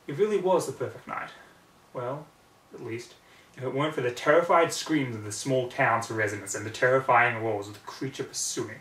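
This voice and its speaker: A man narrates a story in a dramatic, expressive way with halting pauses. His voice sits at a middling pitch, a little away from the mic in an echoey room. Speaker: reader of fan fiction. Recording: roomy, distant mic, background noise.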